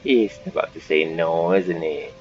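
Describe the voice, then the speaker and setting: Low-voiced man, slow and halting. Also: a little mellow. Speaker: gaming channel host. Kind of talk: casual playthrough commentary. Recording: dull lo-fi mic. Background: game music.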